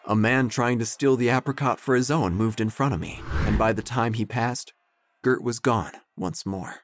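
An actor playing a melodramatic, self-important obituary writer who narrates an audio drama with theatrical pauses. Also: male voice, mid-range voice, a little hiss or hum, natural voice. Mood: dry, dramatic. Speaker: narrator and protagonist. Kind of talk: first-person audio drama narration, gothic parody.

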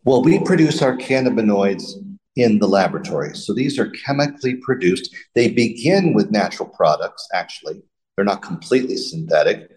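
A man answering interview questions, explaining drug chemistry in a measured, methodical way. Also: lowish voice, lively intonation, darkish tone, low-quality recording. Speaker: pharmaceutical company executive.

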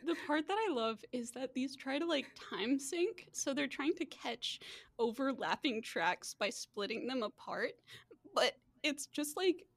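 Female podcast host, higher-pitched and unhurried, casual and prone to giggling, dry recording. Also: expressive, no room sound. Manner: giggly, laughs often while talking. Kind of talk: casual chatty banter.

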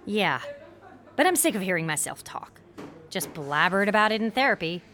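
A woman's voice: medium pitch, big pitch swings, moderate pace, pushed and bright, slight background noise. An actress playing a sheltered, anxious young female college student who speaks candidly in a naturalistic audio drama. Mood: friendly, embarrassed. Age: young adult.

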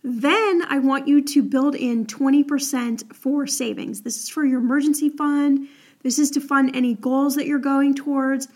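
A woman's voice: high-pitched, steady tone, moderate pace, a little mellow. A young woman hosting an advice podcast in a chatty, upbeat way, her voice a little crackly from the early morning. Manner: chatty, upbeat, lots of "like" and "all right?". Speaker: podcast host.

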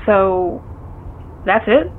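A skeptical, teasing friend on a dull phone line with slight vehicle hum. She speaks slowly in a fairly high, very expressive voice, wry and full of questions, in an audio drama style. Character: skeptical, teasing close female confidante. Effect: phone call filter. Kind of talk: naturalistic audio drama acting.

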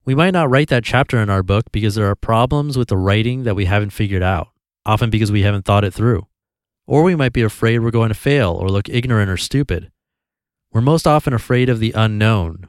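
A man hosting a podcast, calmly reading a blog post aloud in an even, easygoing way. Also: lowish voice, fairly quick, dry recording, studio quality. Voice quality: calm, even voice.

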